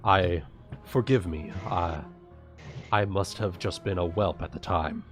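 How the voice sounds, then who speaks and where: A man's voice: low-pitched, measured pace, over music, voice pushed down. A man playing a humble, apologetic old dragonkin savant in a tabletop game, formal and hesitant. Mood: apologetic, wary.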